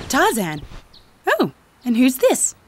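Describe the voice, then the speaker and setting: An actress playing a spirited, polite young researcher with a proper manner in a video game. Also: female voice, high-pitched, slow, heavily acted. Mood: curious. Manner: proper, animated.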